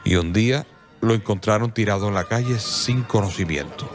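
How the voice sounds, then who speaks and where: Male narrator, low voice, measured and solemn, faint music. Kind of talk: scripted radio drama narration.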